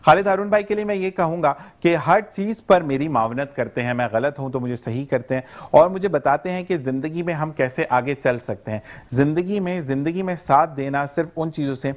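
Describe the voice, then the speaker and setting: A Pakistani man hosts a morning TV show in a fairly high voice. He sounds chatty and courteous, and greets his guests formally before he brings up each topic. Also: fairly quick, hardly any pauses. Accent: Pakistani Urdu.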